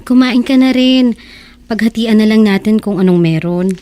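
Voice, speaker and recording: A woman's voice: medium pitch, moderate pace, dark tone, lightly acted. A woman playing a poor, devoted young wife in a radio drama, anxious yet encouraging, with an emotional, coaxing delivery. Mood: coaxing, insistent. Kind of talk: Tagalog radio drama acting.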